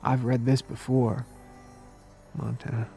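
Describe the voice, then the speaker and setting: An actor playing a mild, detached war veteran held captive, speaking in a subdued, plain way in an intimate radio drama. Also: male voice, mid-range voice, flat delivery, unhurried, gravelly, dark tone, soft background music. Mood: reflective.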